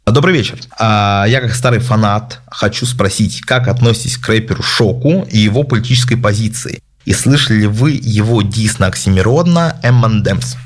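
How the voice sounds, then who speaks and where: A man's voice: on the low side, normal speed. A man hosting a casual live question-and-answer stream, reading viewer questions and answering with many hesitations, fillers and wry asides.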